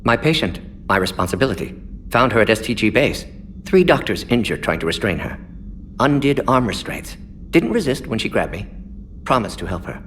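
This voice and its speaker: An actor playing an aging alien scientist in a video game, clinical and unapologetic, speaking in clipped, telegraphic phrases. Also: male voice, on the low side, big pitch swings, moderate pace, fairly bright tone, slight background noise. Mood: clinical, caring. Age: older. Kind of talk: video game character dialogue.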